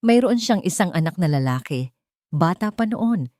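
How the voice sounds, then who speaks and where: A woman's voice: on the low side, expressive, moderate pace, warm tone, dry recording, clean studio sound, close to an everyday voice. A woman voicing a grave, regretful old father recounting the past in an audio story.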